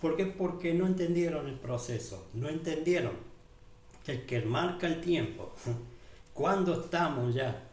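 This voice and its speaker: Argentine pastor preaching in an echoey hall. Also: male voice, on the high side, animated, unhurried, darkish tone. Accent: Argentine Spanish. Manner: repeats tag questions, list-like phrasing, addresses listeners as beloved. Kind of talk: sermon. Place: church hall. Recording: echoey church room from far off.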